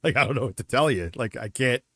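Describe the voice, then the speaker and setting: A man's voice: mid-range voice, lively intonation, quick pace. A middle-aged man streaming casual commentary, rambling and swearing as he reminisces. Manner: profane, meandering, reminiscing. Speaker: streamer reacting to another stream.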